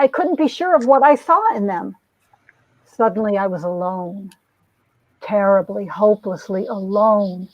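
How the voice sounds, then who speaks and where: A woman's voice: medium pitch, no echo. A woman playing an earnest young heroine telling her troubled story in a theatrical, emotive romance-comic reading. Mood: anguished. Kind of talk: dramatic comic-book reading, melodramatic first-person narration. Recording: dull, lo-fi recording.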